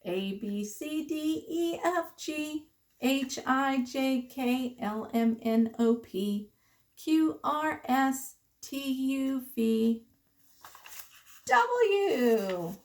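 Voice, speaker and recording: A woman preschool teacher reading the alphabet aloud to children in simple, deliberate, sing-song phrases with questions. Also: sits high, expressive, a little mellow.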